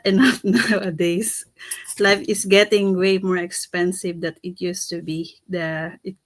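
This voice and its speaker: A lower-voiced woman speaks on a livestream in a measured, pausing way. Her voice sounds dry and close, with no room echo. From Philippines. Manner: measured, with frequent "um" pauses. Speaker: livestream co-host. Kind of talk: casual livestream talk.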